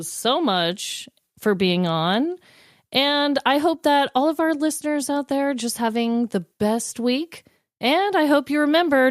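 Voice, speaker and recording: A woman's voice: sits high, no echo, studio quality. A woman hosting a podcast in casual, joking chat, with an emphatic, gushing way of talking. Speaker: podcast host.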